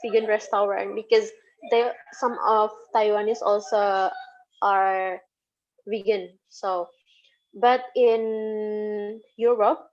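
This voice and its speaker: Woman lecturer, Indonesian accent, Sundanese native. Unhurried, hesitant interview answers, slightly dull recording. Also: mid-range voice, fairly even intonation, darkish tone. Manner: hesitant, halting, repeats words. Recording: dull, lo-fi audio.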